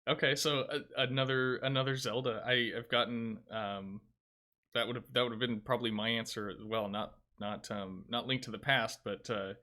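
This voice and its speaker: Upbeat 28 yo guy interviewing, bright crisp voice, lots of ums. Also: mid-range voice, fairly even intonation, normal speed. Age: 20s. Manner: upbeat, encouraging, hesitant fillers. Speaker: interviewer, host. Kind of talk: casual interview.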